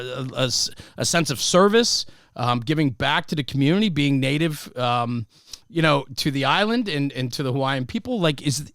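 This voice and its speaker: A man's voice: on the high side, a bit bright, no room sound, studio quality. A man hosting a podcast interview, affirming and enthusiastic as he asks his questions. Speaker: podcast host.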